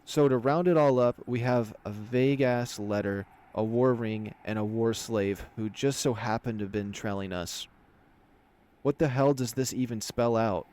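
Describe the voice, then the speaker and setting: A man's voice: medium pitch, a little slow, darkish tone, natural voice. A man voicing a blunt, knowing animal soldier in a fantasy visual novel, explaining things in an emphatic, wry way. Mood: exasperated.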